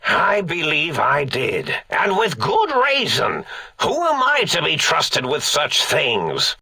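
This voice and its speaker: A man playing an eccentric, forgetful old mad scientist in a video game, raspy and theatrical, rambling along with pompous, absent-minded manner. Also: a little high, huge pitch swings, slow pace, pushed and bright, no room sound, heavily acted. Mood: befuddled, muttering. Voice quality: raspy, creaky. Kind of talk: video game character acting.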